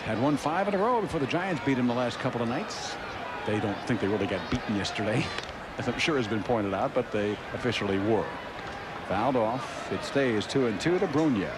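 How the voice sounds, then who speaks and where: A man's voice: mid-range voice, animated, brisk. American baseball announcer, man, very noisy broadcast. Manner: conversational, wry, clipped calls. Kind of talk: live radio baseball commentary. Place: open-air ballpark broadcast booth. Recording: very noisy outdoor ballpark broadcast.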